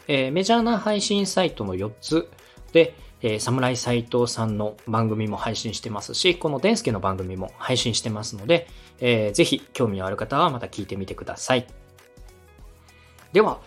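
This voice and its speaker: A male podcast host with a slightly husky, mid-pitched voice and a polite manner. He interviews and gives encouraging feedback in a structured way, with music playing behind him. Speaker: podcast host and radio director.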